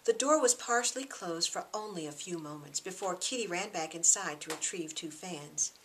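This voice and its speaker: Female audiobook narrator, slightly low, crisp forward tone, expressive storytelling delivery. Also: normal speed. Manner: expressive, acts out dialogue. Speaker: author reading own novel.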